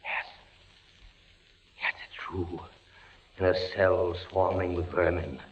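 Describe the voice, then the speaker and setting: An actor playing a terrified, feverish prisoner, a captain condemned to torture, with intense theatrical 1940s radio delivery. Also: male voice, sits low, fairly even intonation, slow. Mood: hushed dread. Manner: intense, theatrical, breathy hushed dread breaking into cries. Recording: muffled, noisy old radio recording.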